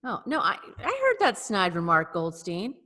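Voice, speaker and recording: A woman's voice: fairly low pitch, very animated, moderate pace, pushed and bright. A woman co-hosting a podcast in casual video-call chat, playful and mock-offended. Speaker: podcast co-host.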